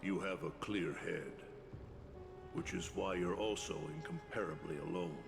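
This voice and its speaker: A very low voice echoes in a long reverb over music. a grave, brooding retainer in a fantasy game, formal and measured in every phrase, with a solemn gravelly tone. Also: male voice. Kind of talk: dramatic video game acting.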